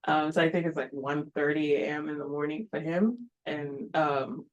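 A woman's voice: sits low, normal speed, lo-fi sound. A woman from Kenya, a missionary planting a church, speaking earnestly at a mission event with many ums and you knows.

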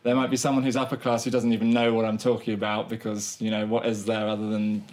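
A man's voice: on the low side, flat delivery, fast talker, darkish tone. A man with a Southern English accent co-hosting a podcast in casual chat, joking in a mock-pompous way and rambling with restarts. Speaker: podcast co-host.

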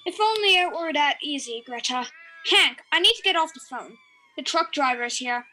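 A woman's voice: sits high, lively intonation, moderate pace, pushed and bright. An actress playing a haughty, imperious film director who dotes on her cats, in an audio drama. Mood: curt, exasperated. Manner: imperious, theatrical. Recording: music behind the voice.

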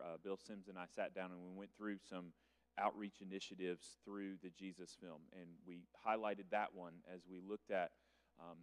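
A man pastor making announcements from a church stage, measured with filler sounds. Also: deep voice. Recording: reverberant church hall.

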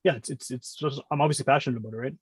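A man's voice: medium pitch, racing, husky, dry recording. A middle-aged man chatting casually as a podcast guest, talkative and often interrupting himself as he explains. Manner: talkative, rambling, self-interrupting. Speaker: podcast guest, music podcast host.

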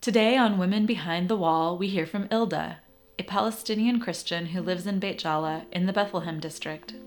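A woman hosting a podcast, reading a scripted introduction in a measured way. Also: lowish voice. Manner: measured, read-aloud delivery. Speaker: podcast host. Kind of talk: scripted narration. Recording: guitar music underneath.

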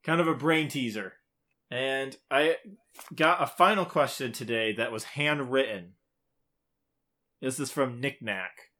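Bright-voiced man bantering as a podcast co-host. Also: medium pitch, measured pace, pauses often. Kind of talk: casual bantering podcast chat, reading out quiz questions.

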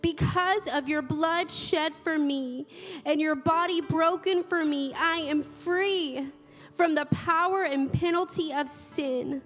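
Woman leading communion prayer, slow and careful, echoey church hall. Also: high-pitched. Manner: slow, measured reading. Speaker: communion leader. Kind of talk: scripture reading and led prayer. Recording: church hall reverb with soft music.

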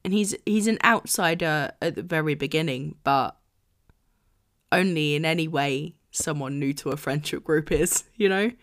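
A woman's voice: sits low, moderate pace. A woman co-hosting a book podcast, chatting casually and laughing often. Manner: chatty, laughs often, reads blurbs aloud. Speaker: podcast co-host.

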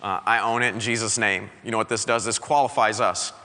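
A male pastor preaching an energetic sermon, exhorting his congregation with call-and-response. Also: on the low side, fairly quick, in a large hall, slight background noise.

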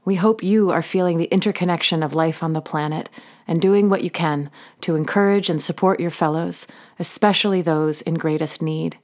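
A woman hosting an audio drama, reading a scripted outro and credits in a measured, earnest way. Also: deep voice, steady tone, a little mellow. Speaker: host and narrator of an audio drama podcast.

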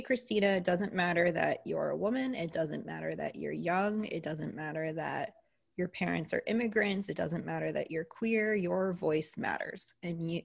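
A woman's voice: lowish voice, gravelly. A young American woman, a leadership coach, telling her story earnestly in a casual interview, with fillers along the way. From United States.

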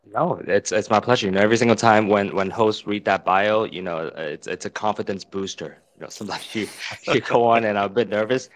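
A friendly man from Hong Kong in his thirties talks in a chatty, easygoing manner, his voice sitting fairly low. The recording is dry and close, with no room sound. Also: brisk, few pauses, no room sound, poor audio. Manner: friendly, chatty, self-deprecating. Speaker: guest, fitness educator. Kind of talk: casual interview chat.

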